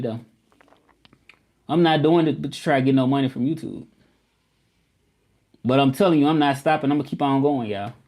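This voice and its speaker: A man's voice: medium pitch, darkish tone. A man hosting a casual live stream, chatting loosely with viewers and often repeating himself. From Memphis, United States. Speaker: livestream host.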